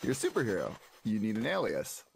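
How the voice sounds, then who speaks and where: Man playing wisecracking superhero with animated delivery. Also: on the low side, fast, vocal fry, slight background noise, full character voice. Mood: businesslike. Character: young wisecracking male superhero, cocky but awkward. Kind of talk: animated comic-book parody acting. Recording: music behind the voice.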